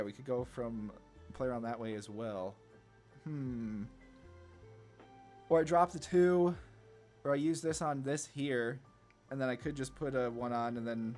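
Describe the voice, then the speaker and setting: A man giving casual card game commentary, thinking aloud and often questioning his own choices as he goes. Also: mid-range voice. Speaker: gameplay video host. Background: background music.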